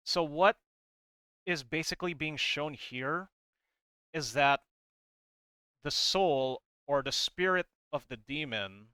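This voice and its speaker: A man's voice: fairly high pitch, animated, slow pace, long pauses, pushed and bright, smooth, no room sound. A man hosting a casual lore breakdown, talking informally and hesitantly, rambling as he explains and sometimes reading aloud. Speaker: video host explaining game lore. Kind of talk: casual commentary on a comic, reading parts aloud.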